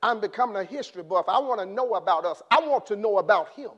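A man preaching a sermon in an impassioned way, rising to shouts and then dropping low. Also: expressive, quick pace.

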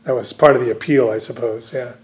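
A man's voice: mid-range voice, steady tone, fast talker, a little mellow. A man who grew up speaking Dutch, a visiting scholar and author answering interview questions at length, with many fillers and restarts.

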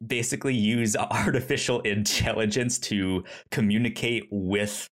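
A man co-hosting a casual film discussion, halting as he talks, often stammering and repeating syllables before he gets a word out. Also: lowish voice, steady tone. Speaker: podcast co-host.

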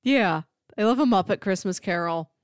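A woman's voice: mid-range voice, expressive, normal speed, no echo, clean studio sound. A woman co-hosting a podcast, talking about film casually and enthusiastically, with chuckles as she goes.

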